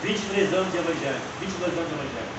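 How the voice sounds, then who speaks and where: Fervent high-voiced preacher man, echoey. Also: rapid-fire. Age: 50s. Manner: fervent, rhetorical questions, personal testimony. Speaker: seminary teacher. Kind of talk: preaching lecture on the Psalms. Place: classroom. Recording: echoey distant room mic with background noise.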